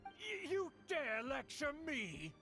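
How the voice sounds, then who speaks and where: An actor playing a haughty, scheming older noble uncle, a pompous and imperious clan elder in a fantasy video game. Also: male voice, very high voice, huge pitch swings, slow pace, bright, forceful tone, heavily acted. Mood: indignant. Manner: pompous, imperious, formal speech. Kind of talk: video game character acting. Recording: music behind the voice.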